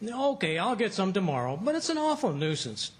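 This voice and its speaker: A man's voice: sits high, sing-song, fairly quick. A man playing a blustering, folksy, fibbing middle-aged husband in an old-time radio comedy. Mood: boastful, grumbling. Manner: folksy, stammering when cornered.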